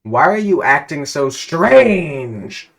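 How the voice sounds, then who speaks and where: An indignant, bewildered bald man from an absurd adventure story, acted out by a male narrator. He demands answers in a raised, incredulous voice, loud and pitched above ordinary talk. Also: big pitch swings, slow pace, gravelly, exaggerated voice. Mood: indignant, shocked.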